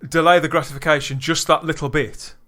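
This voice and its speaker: A man's voice: on the high side, fairly even intonation, a little fast, sharp tone. A man hosting a podcast, reviewing in casual chat, enthusiastic, with frequent restarts. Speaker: podcast host.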